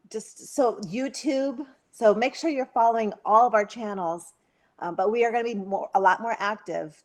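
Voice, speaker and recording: A woman talking casually on a video call, her voice pitched in the middle. She is chatty, laughs often and leans on fillers as she talks. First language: English. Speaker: social media volunteer. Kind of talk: casual conference talk.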